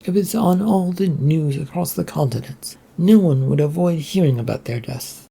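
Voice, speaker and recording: Storyteller doing a bossy suspicious princess, argues back, higher voice. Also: normal speed, gravelly, dark tone, husky. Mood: skeptical. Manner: blunt, indignant, argumentative. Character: headstrong, suspicious young princess.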